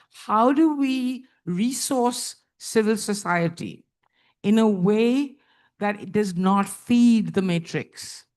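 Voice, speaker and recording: A woman's voice: medium pitch, a little mellow. A woman from India speaking as a panelist, earnest and thoughtful, with some fillers as she speaks. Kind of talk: panel discussion remarks.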